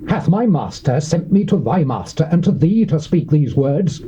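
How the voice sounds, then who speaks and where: An actor with a British accent playing a boastful, threatening Assyrian envoy, declaiming in a taunting, theatrical biblical drama style. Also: male voice, fairly high pitch, big pitch swings, normal speed, mellow. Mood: coarse defiance. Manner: declamatory, taunting, archaic oratory. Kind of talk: theatrical dramatized scripture reading. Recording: muffled old cassette with background hiss.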